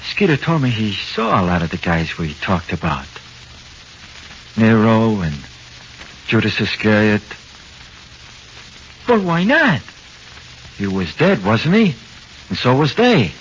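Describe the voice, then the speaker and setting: An actor playing a frightened, slangy condemned convict with an American accent in a 1940s radio horror play, halting and pleading. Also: male voice, fairly low pitch. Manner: slangy, halting, swinging from flat confession to anxious pleading. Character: frightened condemned convict awaiting execution, pleading, uneducated tough. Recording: muffled, hissy 1940s radio recording.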